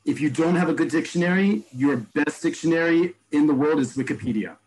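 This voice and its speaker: A man teaching an online class, explaining terms and repeating each word slowly. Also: fairly high pitch, a little mellow, a little hiss or hum, low-quality recording. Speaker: Hebrew teacher. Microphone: video call.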